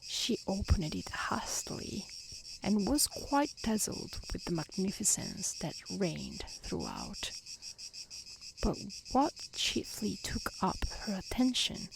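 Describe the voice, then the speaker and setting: A woman reads a storybook very softly, at an unhurried pace, in a breathy ASMR voice that often drops to a whisper. Her delivery is gentle and careful, with faint cricket noise around her outdoors. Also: medium pitch. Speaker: storyteller reading a fairy tale aloud. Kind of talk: soft-spoken ASMR storybook reading. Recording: faint background noise with chirping insects. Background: outdoor nature ambience, crickets.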